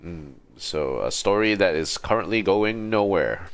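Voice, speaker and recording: A man's voice: deep voice, expressive, a little slow. A man doing casual live commentary as a game streamer, muttering and musing aloud over faint game noise.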